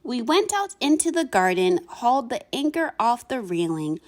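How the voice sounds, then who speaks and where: Lively woman reading a children's storybook. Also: a little high, normal speed. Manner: theatrical, expressive. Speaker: storybook reader. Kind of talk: animated children's picture book read-aloud in verse.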